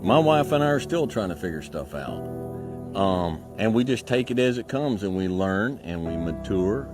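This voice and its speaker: A man's voice: lowish voice, animated, moderate pace. Earnest man giving advice over music. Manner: earnest, folksy, repeats phrases. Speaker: relationship advice speaker. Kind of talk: advice talk in a played clip.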